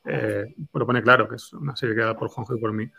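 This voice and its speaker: Fast, creaky-voiced man chatting. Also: medium pitch, fairly even intonation, pushed and bright. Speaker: screenwriter being interviewed. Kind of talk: casual interview chat.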